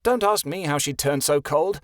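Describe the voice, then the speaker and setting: Man doing a worried wife voice, higher, kinda protesting. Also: normal speed, no echo, studio quality, natural voice.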